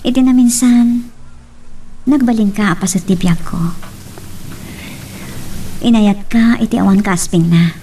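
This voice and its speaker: A woman acts out a young woman in a romance audio drama, hurt but forgiving. Her delivery is earnest and measured, with a pleading edge, at a middling pitch. A faint ambient noise sits behind the voice. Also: warm tone, close to an everyday voice. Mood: earnest, sorrowful. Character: forgiving, heartbroken young woman parting from a former lover. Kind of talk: Ilocano radio-style romance drama acting.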